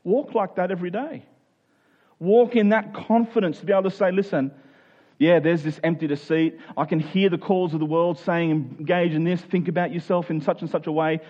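High-pitched male preacher, dull recording. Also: normal speed, a little mellow. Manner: emphatic repetition of short phrases; earnest, exhorting. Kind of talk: sermon with scripture reading and prayer.

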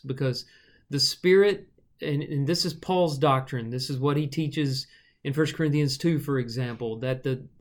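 A man co-hosting a casual Bible discussion, thoughtfully explaining scripture with a touch of self-deprecating humor. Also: medium pitch. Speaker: Bible study co-host.

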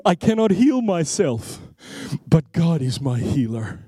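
A man evangelist preaching a sermon to a congregation, emphatic and repetitive, asking rhetorical questions and dropping his voice low on key phrases. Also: very animated, slow pace, warm tone, husky, no echo. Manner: emphatic, repeats words, swings between rising and low dropped phrases; explains Hebrew names of God with rhetorical questions.

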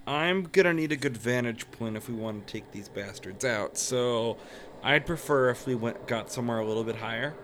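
A man's voice: mid-range voice, moderate pace, a bit bright, slight background noise, pitched below the performer's own voice, close to an everyday voice. Man playing a terse, tough caiman sniper. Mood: gruff, calculating. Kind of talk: improvised audio role-play acting.

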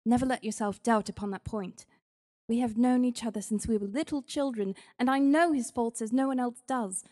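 A man's voice: very high-pitched, moderate pace, darkish tone, big-room sound, voice pushed up. A man reading an audiobook, voicing an eager young woman who pleads breathlessly and insistently. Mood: pleading. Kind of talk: audiobook character voice.

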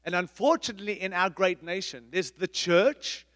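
A middle-aged man, a pastor, preaching a sermon in an emphatic, repetitive style with big swings in loudness. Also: high-pitched, moderate pace, in a large hall. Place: church.

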